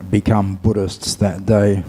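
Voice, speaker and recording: A man giving a Buddhist talk as a monk and teacher, speaking in a measured, halting way with long pauses. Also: fairly low pitch, flat delivery, dark tone, faint music behind. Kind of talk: dhamma talk to an audience.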